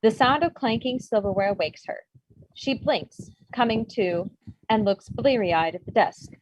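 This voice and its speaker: A woman's voice: a little high, unhurried. A woman reading stage directions as scripted narration at a comedy table read. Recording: dull, noisy home microphone with clicks.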